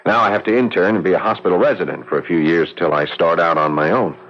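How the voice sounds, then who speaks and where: An actor playing an earnest, eager young American visitor in a radio drama, with an American accent. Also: male voice, deep voice, steady tone, fast. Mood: eager, awkward. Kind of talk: old-time radio drama acting and narration. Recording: muffled old radio recording with music.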